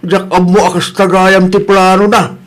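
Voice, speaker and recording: A man's voice: high-pitched, level tone, normal speed, lightly acted. An actor playing an easygoing older village man with a drawling, hesitant manner in an Ilocano comedy radio drama. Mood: puzzled.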